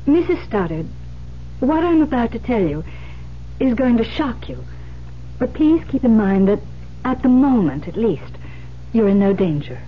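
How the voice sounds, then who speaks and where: An actress playing a composed, grave police detective, explaining deliberately with pauses in old-time radio drama style. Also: female voice, fairly high pitch, big pitch swings. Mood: grave, calm. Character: composed, grave female police detective breaking bad news. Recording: muffled vintage radio recording with hiss.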